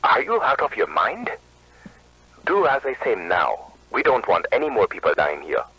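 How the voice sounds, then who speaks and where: A man's voice: lowish voice, huge pitch swings, normal speed, slightly rough, no room sound, muffled recording, exaggerated voice. A man playing an urgent control-center operator giving orders, heard through a radio filter. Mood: urgent, exasperated.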